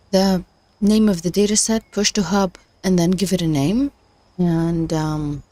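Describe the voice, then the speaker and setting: A woman's voice: lowish voice, a little mellow, dry recording. A woman hosting a casual livestream, thinking aloud with many fillers and restarts while she is losing her voice. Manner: hesitant, many fillers and restarts, talks to herself. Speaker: livestream host learning machine learning.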